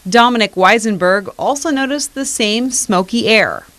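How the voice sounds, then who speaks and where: A woman's voice: a little high, moderate pace, a bit bright. A woman with an American accent reporting for television news in a broadcast style.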